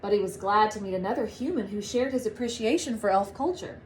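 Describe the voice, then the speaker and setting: A woman reading a story aloud to children like a teacher, with animated, drawn-out storybook phrasing. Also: medium pitch, slight background noise. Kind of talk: expressive read-aloud storytelling.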